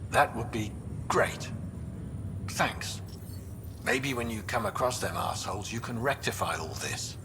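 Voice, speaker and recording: Low male voice, blunt disgruntled space crewman, video game, noisy reverberant background. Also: lively intonation, a little slow, pauses often. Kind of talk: scripted video game dialogue.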